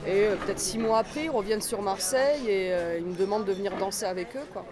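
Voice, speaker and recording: A woman in her forties from southeastern France tells her story in an easygoing, meandering way, often stopping to restart a thought. She is recorded outdoors on a busy street, with chatter from a crowd and passing traffic clearly audible behind her. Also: mid-range voice, quick pace. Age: 40s. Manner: relaxed, rambling, many fillers and restarts. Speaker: dancer and choreographer, guest. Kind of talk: casual interview chat.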